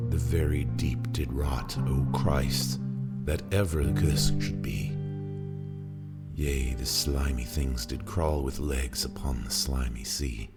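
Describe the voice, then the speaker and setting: A man giving a theatrical, brooding and emphatic dramatic recitation of poetry. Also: a bass voice. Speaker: poetry reader and performer. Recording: music under the voice. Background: guitar music.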